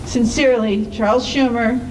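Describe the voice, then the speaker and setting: A woman with a somewhat high-pitched voice reads formal letters out loud in public. Her phrasing is measured and deliberate, with clear emphasis. The microphone sits away from her, and noticeable ambient noise runs behind the voice. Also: steady tone, recorded from a distance, poor audio. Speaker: activist reading statements aloud at a public event.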